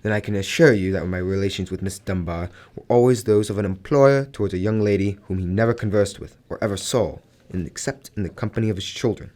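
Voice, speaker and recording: A man's voice: lowish voice, fast, hardly any pauses, a little mellow, natural voice. A man acting a proud, overbearing wealthy man in a radio drama, formal and domineering in manner. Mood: indignant, threatening.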